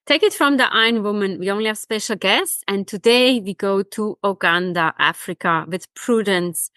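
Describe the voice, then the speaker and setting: A woman's voice: medium pitch, normal speed, pushed and bright, clear, resonant tone, no room sound, soft background music. A woman hosting a podcast, introducing guests and asking interview questions.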